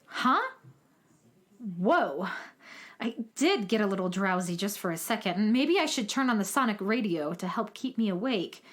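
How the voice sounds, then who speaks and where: A sarcastic, drowsy space traveler. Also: female voice, medium pitch, lively intonation, normal speed, fairly bright tone. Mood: musing. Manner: sardonic, grumbling asides.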